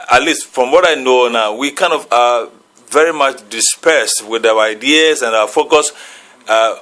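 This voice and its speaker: This is a man speaking on a radio panel. His voice is bright and forceful, and his delivery is halting, full of pauses while he searches for the right words. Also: mid-range voice. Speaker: reverend asking a panel question.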